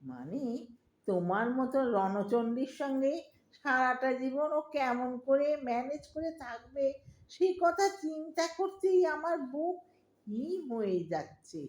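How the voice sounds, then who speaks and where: A woman's voice: sits high, lively intonation, a little slow. A woman playing a fretful, old-fashioned middle-aged Bengali mother in an audio drama, plaintive and scolding, with a Bengali accent. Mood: complaining. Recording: roomy, echoing audio drama recording.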